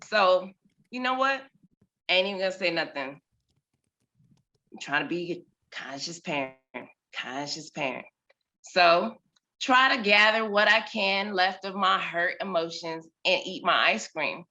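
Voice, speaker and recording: A woman in her thirties, a mother, telling a personal story on a video call with expressive, dramatic delivery and big swings in loudness. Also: fairly low pitch, measured pace, long pauses, highs cut off.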